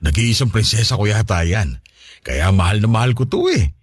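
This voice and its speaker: A man's voice: on the low side, expressive, normal speed, breathy edge, dry recording, clean studio sound. A man playing a doting, cheerful father in a Filipino radio drama, affectionate and easygoing.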